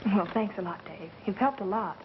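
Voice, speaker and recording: A woman's voice: medium pitch, normal speed, lightly acted. An actress playing a plucky, wisecracking young American woman sleuthing as a sidekick, in 1930s film style. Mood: wry, curious. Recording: muffled, noisy vintage film soundtrack.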